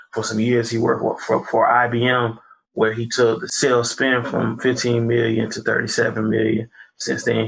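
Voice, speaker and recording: A man's voice: medium pitch, gravelly, a bit bright, breathy edge, poor audio. A man hosting a podcast, chatting casually and joking with his guest. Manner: playful, joking banter with guest. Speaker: podcast host. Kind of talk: casual interview chat.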